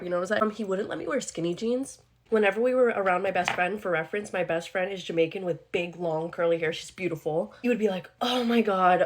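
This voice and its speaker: A young woman with a slightly low-pitched voice shares a personal story casually. She is candid and chatty, with a sarcastic edge to her asides.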